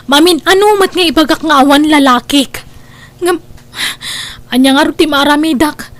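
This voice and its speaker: A woman's voice: sits high, moderate pace, a little hiss or hum, close to an everyday voice. A woman with an Ilocano accent playing a wronged girlfriend in an audio drama, pleading her case in a plaintive, emphatic way. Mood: pained, resigned. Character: wronged, hurt girlfriend defending herself.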